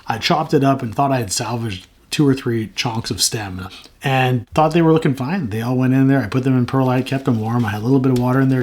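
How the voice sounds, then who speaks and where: A man with a sore throat, chatting casually and frankly to camera as the host of a plant channel. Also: mid-range voice. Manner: rambling, frank, self-mocking.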